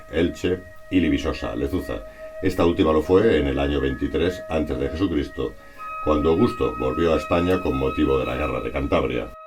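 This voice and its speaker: A man from reads a scripted historical narration in a formal, measured, bookish manner. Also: rumbling low.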